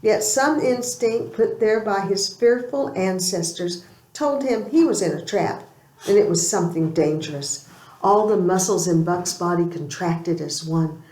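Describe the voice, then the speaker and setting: A woman's voice: mid-range voice, unhurried, a little mellow. A woman reading a storybook aloud as scripted audiobook narration. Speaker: audiobook reader. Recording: roomy, echoing amateur recording.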